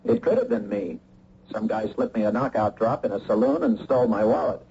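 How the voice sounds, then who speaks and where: An actor playing a wry, plain-spoken American agent in a radio mystery. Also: male voice, fairly low pitch, expressive, brisk, mellow. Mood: calm. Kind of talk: old-time radio mystery acting. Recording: muffled, hissy old radio recording.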